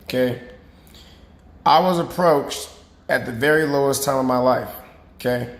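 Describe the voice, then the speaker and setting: A man with a raspy, hoarse voice, a fitness coach talking casually and earnestly to his viewers, often checking in with "right?" and "okay?". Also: medium pitch, a little slow. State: hoarse after boot camp. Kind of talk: casual talk to camera. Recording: roomy recording.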